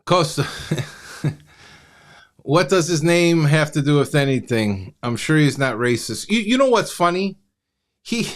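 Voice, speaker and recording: A man hosting a live review, mocking and exasperated as he comments. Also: on the high side.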